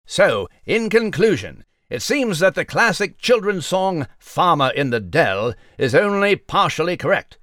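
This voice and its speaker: An actor playing a pompous, scholarly little mouse delivering a formal, wordy mock news report in a children's audio drama. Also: male voice, fairly high pitch, very animated, measured pace, few pauses, fairly bright tone, no echo, studio quality. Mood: pompous, earnest.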